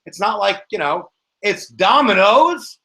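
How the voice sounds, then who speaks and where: Lively, jokey American history teacher. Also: male voice, high voice, unhurried, vocal fry. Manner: jokey, animated, slangy. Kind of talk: casual exam review lesson.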